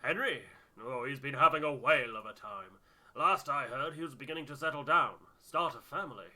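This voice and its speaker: A man voicing a genial, courteous older gentleman with hearty, old-fashioned manners in a video game. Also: medium pitch, brisk. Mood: hearty, fond. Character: genial older gentleman, proud father of grown son. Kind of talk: theatrical game dialogue reading.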